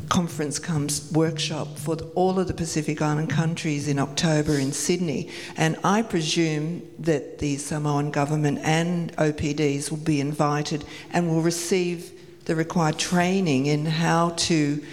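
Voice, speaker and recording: A woman, president of an organisation, making a measured, businesslike announcement at a conference. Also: low voice, a little mellow. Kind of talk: formal announcement from the floor. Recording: long reverb of a conference hall.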